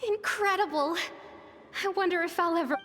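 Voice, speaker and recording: A woman playing a timid, wistful, softhearted young girl in a video game. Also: very high-pitched, normal speed. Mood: wistful, awed. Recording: long hall reverb.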